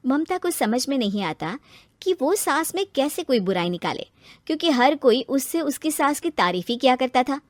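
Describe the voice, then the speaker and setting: A woman tells a scripted cartoon moral story as narrator. Her voice is close and dry, with a lively rise and fall. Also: sits high, normal speed, dry recording.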